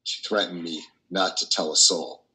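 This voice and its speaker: An older man playing a troubled, tender priest who confesses his doubts haltingly and fervently in a play reading over a video call. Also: sits low, fairly even intonation, pushed and bright, rough recording. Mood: agitated, aggrieved. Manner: halting, fervent, with repeated words. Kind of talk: dramatic monologue in a play reading. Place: wood-panelled room at home, indoors. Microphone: video call, computer microphone.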